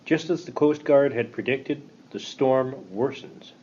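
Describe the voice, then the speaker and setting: Male radio play narrator over loud rain. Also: medium pitch, measured pace, dull audio. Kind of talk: scripted narration, reading stage directions. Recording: loud rain and background noise.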